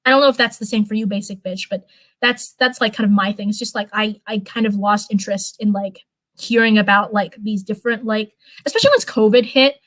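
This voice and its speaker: A young woman chatting casually on a livestream, rambling with lots of fillers and restarts, her voice getting hoarse. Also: medium pitch, a little fast, fairly bright tone, no echo, rough recording. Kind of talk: casual livestream chat, answering viewers.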